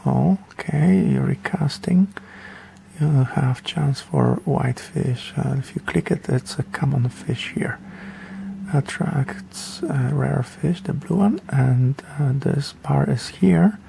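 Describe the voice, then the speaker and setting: Very creaky-voiced man, slow rambling game commentary. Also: mid-range voice, noisy background. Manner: rambling, offhand, hesitant. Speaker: gaming video creator. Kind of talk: casual game tutorial commentary.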